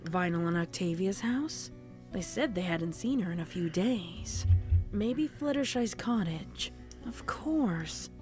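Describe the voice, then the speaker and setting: A woman's voice: fairly low pitch, expressive, takes it slowly, a little mellow, soft background music. A woman narrating horror fiction in a scripted, dramatic storytelling style.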